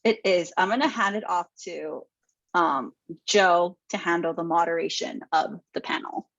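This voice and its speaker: A woman's voice: lowish voice, moderate pace, creaky, a bit bright, dry recording. A woman hosting a panel, chatting in an informal, effusive way over dull, lo-fi call audio. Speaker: panel host. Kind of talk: casual chat.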